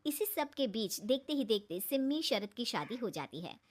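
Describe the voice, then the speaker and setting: A woman's voice: on the high side, big pitch swings, normal speed. A woman reading scripted narration for a cartoon story.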